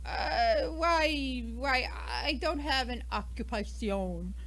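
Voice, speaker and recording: A feisty, huffy American woman under suspicion , played by an actress, in a radio mystery. Her voice is high and sharp, swinging widely in pitch as she snaps back defensively. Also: lively intonation, slow, full character voice. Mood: defensive, evasive. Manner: brash, snappy, huffy. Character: feisty, defensive, indignant single American woman, murder suspect. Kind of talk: old-time radio mystery acting.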